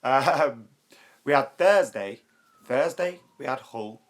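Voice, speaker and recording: A young man with a hoarse, worn voice, hosting a live football chat stream, chatty and excitable and quick to laugh. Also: mid-range voice. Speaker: YouTube football channel host.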